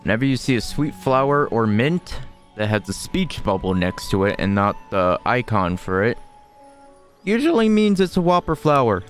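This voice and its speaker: A man's voice: mid-range voice, big pitch swings, moderate pace. A man doing casual gameplay commentary as a streamer, hesitant and thinking aloud. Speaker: video game streamer. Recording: faint game music behind.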